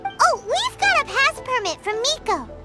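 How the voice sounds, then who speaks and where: An excitable tiny game fairy, sky-high squeaky voice, bouncy chatter. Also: female voice, normal speed. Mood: casual. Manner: squeaky, bouncy, chatty, talks of herself by name. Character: tiny chirpy female fairy companion, excitable. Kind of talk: video game dialogue. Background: game music.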